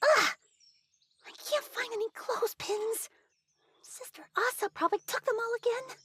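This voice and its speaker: A woman's voice: very high-pitched, moderate pace, fairly bright tone, no echo. A woman voicing a whiny, easily upset young temple girl in an anime-style audio drama. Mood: annoyed, whining.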